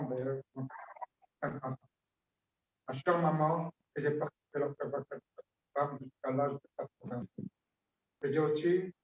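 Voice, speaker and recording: A man's voice: medium pitch, a little mellow. Hesitant man eulogizing in a roomy hall. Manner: fragmented, hesitant, with slow drawn-out phrases. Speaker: family member giving a eulogy. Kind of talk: funeral eulogy. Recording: echoey hall, distant dull mic.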